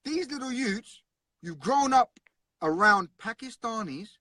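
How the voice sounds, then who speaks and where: A man's voice: very high voice, animated, unhurried, pushed and bright, no echo, clean studio sound. A man talking earnestly and bluntly in a casual conversation, often repeating himself.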